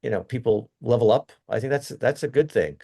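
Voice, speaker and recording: A man's voice: medium pitch, animated, brisk, creaky, warm tone, husky. A middle-aged man, a company executive, giving earnest, rambling interview answers full of restarts and fillers.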